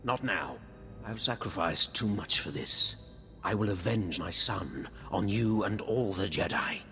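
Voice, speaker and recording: A man's voice: low voice, a little slow, gravelly, bright, forceful tone, heavily acted. A man playing a vengeful, bitter Sith lord in a video game, making grandiose, menacing declarations. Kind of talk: dramatic video game villain acting. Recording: long hall reverb, faint music.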